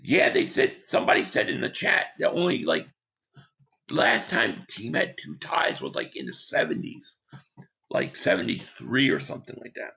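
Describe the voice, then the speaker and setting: A man hosting a sports talk livestream, hoarse and losing his voice, reading chat comments and giving rambling, opinionated takes. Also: mid-range voice, wildly swooping pitch, moderate pace, sharp tone. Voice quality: hoarse, gravelly. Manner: rambling, opinionated, repeats himself.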